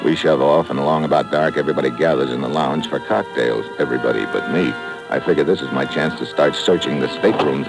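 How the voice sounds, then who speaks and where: An actor playing a tough, wisecracking American secret agent, narrating dryly in vintage radio drama style. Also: male voice, a bass voice, rough recording. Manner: dry, sardonic, clipped. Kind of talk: 1950s radio drama acting, first-person hardboiled narration. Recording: vintage radio recording with loud music.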